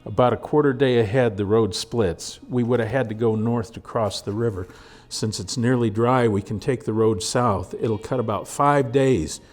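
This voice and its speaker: A man voicing a gruff, plain-spoken frontier scout with an in a storybook reading. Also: medium pitch, moderate pace, darkish tone, close to an everyday voice. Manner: folksy, gritty, plain-spoken.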